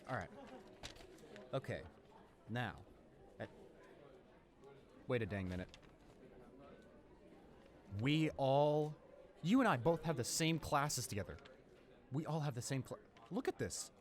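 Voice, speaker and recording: A friendly teenage guy in his last year of high school, acted by a young man, in a casual roleplay audio drama. He rambles and hesitates, easily flustered and quick to apologize. His voice sits at a medium male pitch. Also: very animated. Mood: eager, friendly.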